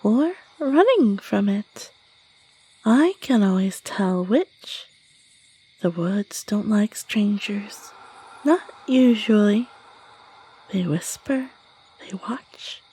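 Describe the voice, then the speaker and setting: A woman voicing an eerie, sly creature of the woods in an audio roleplay, slow, musing and menacing, with a breathy, whispery voice. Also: medium pitch, expressive, dark tone, exaggerated voice, rough recording. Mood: eerie, intrigued. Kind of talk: scripted audio roleplay monologue.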